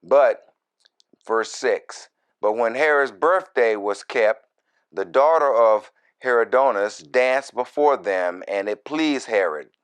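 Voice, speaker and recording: A man who is a minister reads scripture verse by verse and explains it in deliberate, broken short phrases with folksy, informal asides. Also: fairly low pitch, clean tone. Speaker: minister, Bible teacher.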